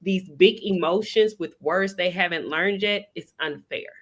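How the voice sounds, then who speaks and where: A woman's voice: fairly low pitch, unhurried. A woman who was a school counselor, explaining her point earnestly and emphatically in a group discussion.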